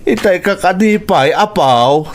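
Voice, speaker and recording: The easygoing old grandpa of an Ilocano radio serial, lingering at the family table with long drawn-out exclamations. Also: male voice, sits high, expressive. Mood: fond. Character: older grandfather, doting and easygoing. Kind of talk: radio drama acting.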